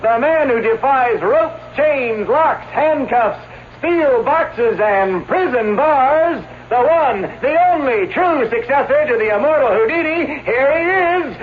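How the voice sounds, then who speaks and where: On a thin, noisy old radio recording, a grandiose nightclub announcer. His voice rides very high and he stretches his phrases slowly, building up the star act like a showman. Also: lively intonation, narrow, tinny audio, exaggerated voice. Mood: grand showmanship. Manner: grandiose, drawn-out showman delivery. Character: bombastic nightclub announcer introducing a star escape artist. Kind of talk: radio drama acting.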